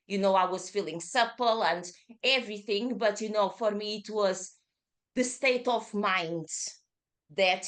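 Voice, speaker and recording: A yoga teacher in her thirties speaks with a Portuguese accent, her voice sitting at a middle pitch. She chats easily, with lots of fillers and restarts. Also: a bit bright. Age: 30s. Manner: chatty, with frequent fillers and self-corrections. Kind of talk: casual interview chat.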